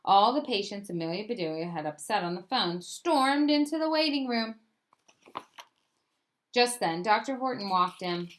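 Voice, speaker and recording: A woman narrating a children's book aloud as an expressive storyteller. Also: mid-range voice, moderate pace.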